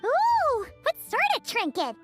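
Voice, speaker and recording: A tiny, childlike fairy companion for a video game, pipes up in an extremely high, squeaky voice. Her eager exclamations swing wildly in pitch, with clear music playing behind her. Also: wildly swooping pitch, unhurried, heavily acted. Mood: curious. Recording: background music.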